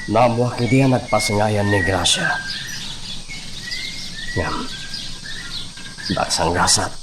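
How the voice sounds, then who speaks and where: A man's voice: lowish voice, takes it slowly, background noise. A man with a Filipino accent playing a sorrowful older father in a radio drama, recounting his past in short confessional phrases. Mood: sorrowful, remembering. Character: sorrowful old father recounting lost love and son. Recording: loud music bed behind the voice.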